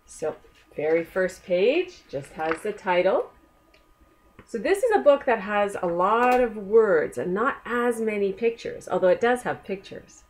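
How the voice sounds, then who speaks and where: A woman reading a children's book aloud as a storyteller, gently inviting young listeners along with questions. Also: mid-range voice, animated, a little slow.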